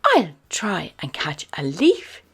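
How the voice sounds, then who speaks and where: A woman voicing a small, excited male puppy in a storybook reading, with high, eager exclamations. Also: takes it slowly, husky, soft background music, exaggerated voice.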